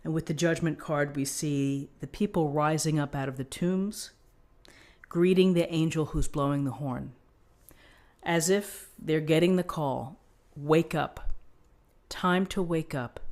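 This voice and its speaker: A woman's voice: low voice, unhurried, darkish tone. Woman giving an encouraging tarot reading. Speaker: tarot reader.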